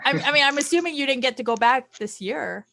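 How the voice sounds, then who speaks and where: A woman's voice: high-pitched, fast talker, vocal fry, bright, forceful tone, slight background noise. A woman from Singapore hosting a casual, chatty interview, giggly and often repeating her phrases for emphasis. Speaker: interview host.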